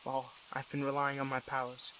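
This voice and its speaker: A man playing a weary, defiant young superhero in a dramatic audio roleplay, speaking haltingly and broodingly to the listener. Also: mid-range voice, monotone, pushed and bright, slight background noise, lightly acted.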